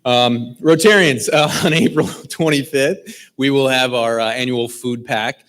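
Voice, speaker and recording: A man's voice: mid-range voice, a little slow, a bit bright. A man casually announcing a volunteer food-packing event to a club meeting. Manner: casual, joking. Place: meeting hall.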